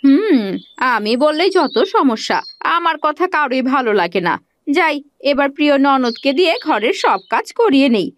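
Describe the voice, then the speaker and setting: A woman's voice: high-pitched, sing-song, normal speed, dry recording. A woman playing a scheming, bossy village sister-in-law in a cartoon fairy tale, scolding and giving orders. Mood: complaining. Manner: scolding, commanding.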